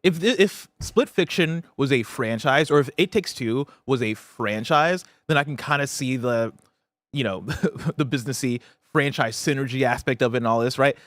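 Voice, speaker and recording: A man's voice: medium pitch, normal speed, a bit bright, dry recording. A man hosting a podcast in casual conversation, talking in an inquisitive way. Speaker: podcast host.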